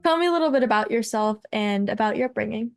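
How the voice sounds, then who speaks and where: Young woman host, bright higher voice. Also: lively intonation, fast talker, loud music behind the voice. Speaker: podcast host. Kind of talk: interview questions.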